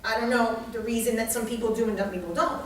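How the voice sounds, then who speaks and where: Female lecturer, high voice, blunt and emphatic, repeats for effect, recorded at a distance. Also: fairly even intonation, quick pace. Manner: emphatic, probing, repeats phrases. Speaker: lecturer and group leader. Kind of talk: interactive psychology lecture. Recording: echoey room, distant mic, faint noise.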